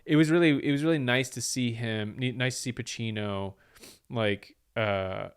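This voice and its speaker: A man's voice: mid-range voice, normal speed, clean tone. A man, a comedian, telling a rambling story in casual chat and acting out the quoted lines.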